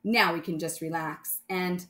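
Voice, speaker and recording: A woman's voice: lowish voice, steady tone, unhurried, fairly bright tone, roomy sound, voice pushed down. A woman voicing a bold, dramatic boy space hero in a children's storybook, in a theatrical, exclamatory manner. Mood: reassuring. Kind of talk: children's storybook read-aloud.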